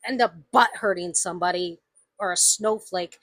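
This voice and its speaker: Indignant woman with a bright, edgy voice. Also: fairly low pitch, normal speed, no echo. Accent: slight Southern US. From United States. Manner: indignant, emphatic, repeats herself. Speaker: vlogger. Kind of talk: angry opinion rant to camera.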